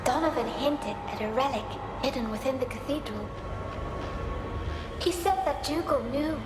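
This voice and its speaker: A woman playing a gentle, earnest young ghostly sister in a dramatic fantasy video game, with an echo on her voice. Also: high-pitched, moderate pace, very noisy. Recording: echoing voice under loud game music.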